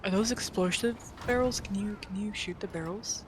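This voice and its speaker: A woman's voice: medium pitch, moderate pace, background noise. A woman chatting casually as a co-commentator on a video game stream. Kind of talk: casual chat.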